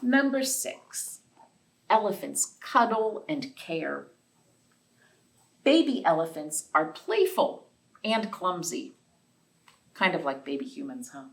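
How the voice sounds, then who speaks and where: A woman hosting a children's storytime, reading aloud and chatting simply to young listeners, with questions and asides. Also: fairly low pitch. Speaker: library storytime host. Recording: distant, off-mic voice.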